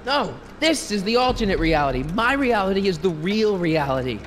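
An actor playing an earnest, exasperated teenage time traveler in an animated video game, talking casually and pleadingly. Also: male voice, high-pitched, moderate pace, a little hiss or hum. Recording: long hall reverb, faint music.

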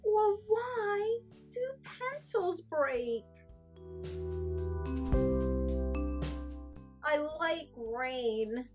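A woman voicing a gloomy, droopy cartoon girl emotion in a children's picture book, mournful and drawn-out. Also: extremely high pitch, voice pushed up, full character voice. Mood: whiny, tearful. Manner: mournful, sighing, drawn-out delivery. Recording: music behind the voice.

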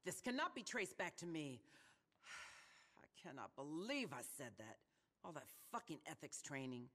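An actress playing a stern, exasperated middle-aged American government agent in a video game, curt and authoritative. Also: female voice, deep voice, sing-song, unhurried, a bit bright, long reverb tail, exaggerated voice. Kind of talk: video game cutscene acting.

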